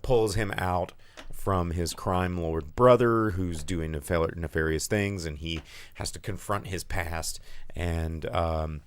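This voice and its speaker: A hoarse man co-hosting a movie podcast, talking casually about new releases with lots of fillers and animated asides. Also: deep voice, slow. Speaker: podcast co-host. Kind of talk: casual movie news chat.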